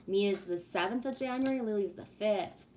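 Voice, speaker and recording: A woman's voice: mid-range voice, moderate pace, a little mellow, slight background noise. Chatty woman in her fifties. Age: 50s. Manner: chatty, greets viewers, spiritual talk. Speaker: livestream host. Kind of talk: casual livestream chat.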